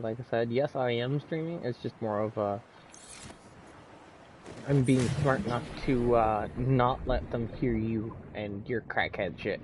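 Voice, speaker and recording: A man's voice: mid-range voice, darkish tone, slight background noise. A man streaming a game and chatting casually with friends, often stumbling and repeating his words. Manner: stammers and repeats words, lots of fillers. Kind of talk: casual live-stream chat with party friends.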